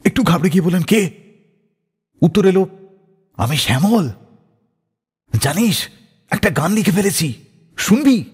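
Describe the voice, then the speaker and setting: A man's voice: high-pitched, expressive, a little slow, pauses often, husky. A man hosting a radio show, telling stories in a dramatic, suspenseful way and acting out quoted lines. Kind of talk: dramatic radio storytelling between songs. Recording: long reverb on the voice.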